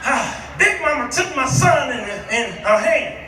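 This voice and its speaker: A middle-aged American man preaching a humorous, animated storytelling sermon, often throwing questions out to his congregation. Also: extremely high pitch, unhurried, crowd noise. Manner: animated, declamatory, often calling on the listeners with questions. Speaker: guest preacher. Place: large echoey room with a congregation. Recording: echoey hall, distant mic, audience noise.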